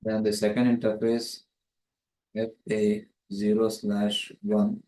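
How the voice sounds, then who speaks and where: A man's voice: on the low side, monotone, slow pace, dark tone. A man giving a methodical, step-by-step tutorial narration as a networking tutor, recorded with roomy echo on a distant microphone. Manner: methodical, explanatory.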